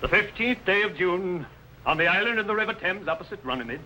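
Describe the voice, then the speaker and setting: An actor playing a bold, righteous medieval archbishop, speaking in a formal, firm, declamatory manner in an old television drama. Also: male voice, high-pitched, expressive, fast, pushed and bright, heavily acted. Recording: noisy 1950s television soundtrack.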